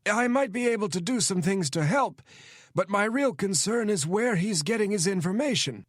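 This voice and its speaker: Guy doing a formal old spy boss, 80s, higher thinner voice, super polite, says sir a lot. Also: expressive, dry recording, studio quality. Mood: earnest, advising. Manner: formal and measured, keeps saying sir. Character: frail elderly male spy chief, formal, deferential.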